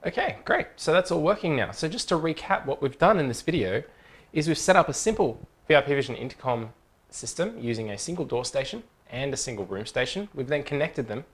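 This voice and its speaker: A man's voice: mid-range voice, slight background noise. A man in his thirties presenting a casual, step-by-step tutorial in an informal, explanatory way. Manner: informal, explanatory, checks along with okay.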